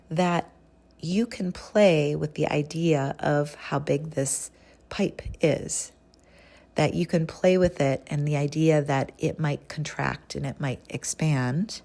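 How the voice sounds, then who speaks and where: A woman leading a guided breathing visualization in a gentle, unhurried, instructional manner with long pauses. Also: sits low. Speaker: guided meditation leader.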